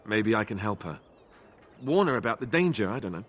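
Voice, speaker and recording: Earnest young fantasy warden, video game dialogue, slightly low voice, hesitant, halting. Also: male voice, very animated. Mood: hesitant, wistful. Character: earnest young male warden haunted by family ties.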